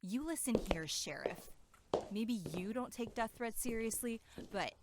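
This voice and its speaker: A woman acting as a sharp-tongued, sarcastic young camp counselor in an audio drama. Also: on the high side, lively intonation, measured pace, very noisy. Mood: angry.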